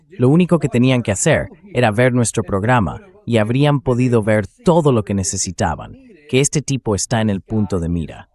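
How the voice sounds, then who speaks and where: A man hosting a political commentary show, explaining his points in a measured, emphatic way. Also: fairly low pitch, darkish tone. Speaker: show host. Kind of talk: political commentary to camera, translated read-aloud.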